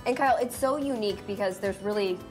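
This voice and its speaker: A woman's voice: mid-range voice, quick pace. A middle-aged woman hosting a conversational lifestyle TV interview on location indoors. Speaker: TV host interviewing. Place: indoors in brewery rooms and cellar. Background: clear music.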